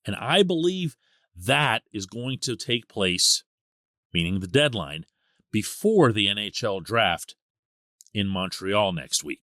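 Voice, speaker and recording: A man hosting a sports podcast, giving conversational solo commentary with emphatic, deliberate phrasing and dramatic pauses. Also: lowish voice, pushed and bright, dry recording, clean studio sound.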